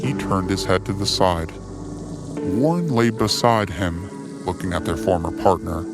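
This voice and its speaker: A man delivers scripted dramatic narration at a slow, deliberate pace. His voice sits fairly low and stays solemn and measured. Music plays clearly underneath him. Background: music bed.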